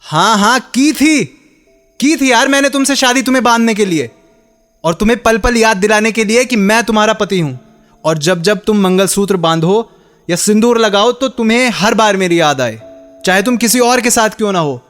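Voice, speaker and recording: A heartbroken young husband in a radio drama, speaks in a slightly high voice. He is bitter and sarcastic, throwing accusing rhetorical questions at his former love. Music plays beneath the dialogue. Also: moderate pace, a bit bright. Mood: anguished outburst. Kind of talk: Hindi radio play acting.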